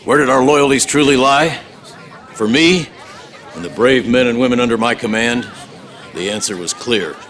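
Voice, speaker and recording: An actor playing a resolute, solemn colonel in a game, speaking in a halting, deliberate, grave way as if making a public statement. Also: male voice, fairly low pitch, fairly bright tone. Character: colonel, male military commander, solemn and resolute. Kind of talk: press statement in game cutscene.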